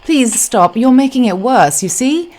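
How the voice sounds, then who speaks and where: A woman voicing a crisp, playful British woman in an audiobook, politely insistent. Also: fairly low pitch, normal speed, natural voice. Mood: flustered, protesting. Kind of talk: audiobook character dialogue.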